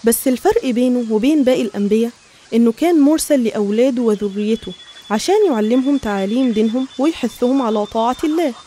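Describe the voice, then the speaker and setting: A woman's voice: on the high side, quick pace, dark tone. A woman with an Egyptian accent narrating a scripted religious story.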